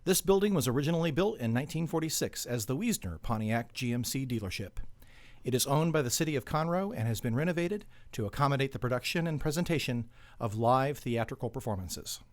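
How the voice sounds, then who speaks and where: A man's voice: medium pitch, animated, fast talker, dry recording. A man reading scripted promotional copy as an announcer. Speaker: announcer for a theater company.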